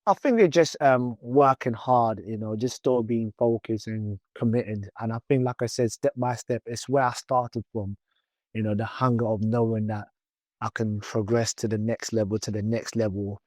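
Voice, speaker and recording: A man's voice: fairly low pitch, steady tone, moderate pace, a little mellow, no room sound. A man in his thirties whose first language is Twi, talking loosely in an interview as a footballer guest with many fillers. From born in England, raised in Ghana. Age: 30s. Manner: chatty, loose, many "you know" fillers and restarts. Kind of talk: casual interview.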